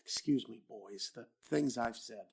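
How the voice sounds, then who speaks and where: An actor playing a gruff, suspicious police captain in a radio drama, blunt and sarcastic as he grills his men. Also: mid-range voice, a little slow, heavily acted. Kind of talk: old-time radio drama reading.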